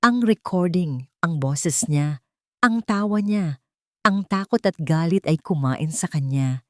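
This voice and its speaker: A woman's voice: fairly low pitch, expressive, slow pace, warm tone, no echo, clean studio sound. A woman narrator reading a scripted melodrama as an audiobook, with dramatic storytelling delivery.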